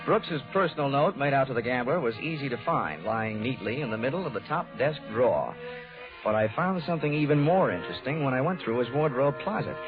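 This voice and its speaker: An actor playing a cynical, wisecracking insurance investigator in a 1940s radio detective drama, wry and dry. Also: male voice, mid-range voice, lively intonation, brisk, lightly acted. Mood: wry, narrating. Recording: tinny, narrow-band 1940s radio recording, music.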